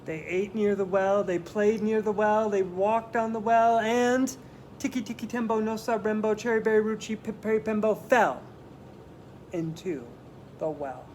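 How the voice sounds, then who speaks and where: A man's voice: high-pitched, fairly even intonation, a little mellow. A man reading a picture book aloud to children, with dramatic phrasing and simple explanatory asides. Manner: dramatic phrasing, slowing for emphasis. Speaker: children's story reader. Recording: clear background noise.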